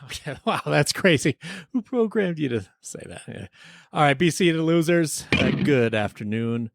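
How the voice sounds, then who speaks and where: A man hosting a comedic podcast as an improvised mock court, with a teasing, deadpan manner. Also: fairly high pitch, normal speed, vocal fry, no room sound, clean studio sound. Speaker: podcast host.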